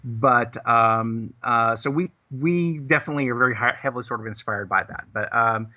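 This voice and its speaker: A man being interviewed on the radio as a guest, explaining things in a halting, casual way. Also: medium pitch, phone-quality sound. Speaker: guest, audio drama producer and writer.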